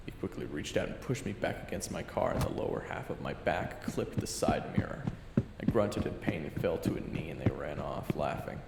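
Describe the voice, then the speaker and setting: A world-weary, brooding man with a dark past , played by a male voice actor in an audio drama. His voice sits extremely low and gravelly, with wide swings in pitch. The sound is roomy. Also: expressive, normal speed, background noise, exaggerated voice. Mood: brooding, grim. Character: brooding weary ex-killer husband fighting his inner demon. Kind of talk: audio drama first-person narration and dialogue.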